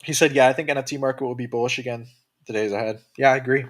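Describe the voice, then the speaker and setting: Profane, tired crypto streamer. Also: male voice, medium pitch, steady tone, racing, no echo. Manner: loose and profane, with many fillers and restarts. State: tired from staying up late. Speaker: crypto livestreamer reading chat. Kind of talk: casual livestream chat.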